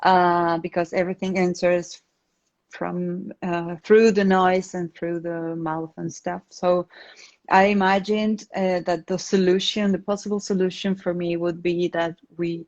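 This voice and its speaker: A woman's voice: on the low side, little pitch movement, unhurried, a little mellow. A woman with an Argentine accent and Spanish as her first language, speaking casually as an interview guest and often searching for words. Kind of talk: casual interview talk, explaining own work. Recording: slightly dull, lo-fi online call.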